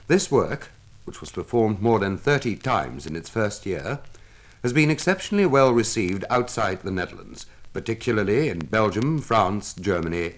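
A man giving a formal, measured scripted narration as an announcer, recorded on a 1950s radio transcription disc. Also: fairly low pitch. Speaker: announcer of a classical music demonstration record.

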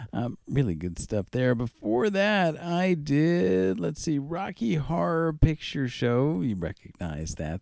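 A man's voice: mid-range voice, mellow, no room sound, studio quality. A man hosting a music radio show, casually announcing songs with playful, wry asides.

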